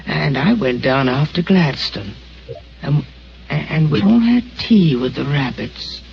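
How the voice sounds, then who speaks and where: Brief: a stammering, childlike dreamer in an old radio drama, slow and muffled, with faint hiss. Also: male voice, a little high, lively intonation, gravelly, full character voice. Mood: dreamy. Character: gentle, simple-minded, dreamy young man. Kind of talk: 1940s radio acting.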